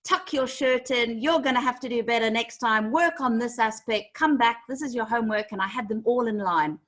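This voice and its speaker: A woman in her forties from Zimbabwe, a corporate trainer telling her story in an interview with lively acted-out quotes. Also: a little high, normal speed.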